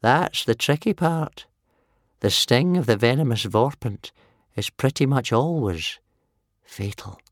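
A man voicing a gloomy, ancient village healer and soothsayer in a storybook, with a wheezy croak and a slow, muttering manner. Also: fairly high pitch, big pitch swings, darkish tone, dry recording, clean studio sound, pitched above the performer's own voice, full character voice. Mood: gloomy, ominous. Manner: slow, muttering, tut-tutting.

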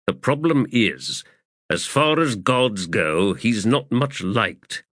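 Male narrator voicing a grave officer, slow and blunt, bright tone. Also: lowish voice, lively intonation, dry recording, clean studio sound, voice pushed up, heavily acted.

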